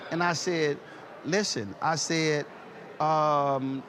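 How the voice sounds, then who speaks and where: Man, fifties, talk show host, higher-pitched, emphatic, repetitive phrasing, conversational, slight background noise. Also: fairly even intonation, extremely slow, a bit bright, low-quality recording. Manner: emphatic, repeats words and phrases as he builds a point. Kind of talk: conversational interview with personal anecdotes.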